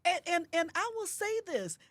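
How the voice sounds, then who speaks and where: A woman in her fifties with a high voice talks in a podcast studio, giving strong, motivational points. She repeats her key phrases for emphasis, like a preacher. The sound is close and dry. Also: big pitch swings, normal speed, a bit bright, no room sound. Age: 50s. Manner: emphatic, preacher-like repetition of affirmations. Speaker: guest, author. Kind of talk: motivational conversation.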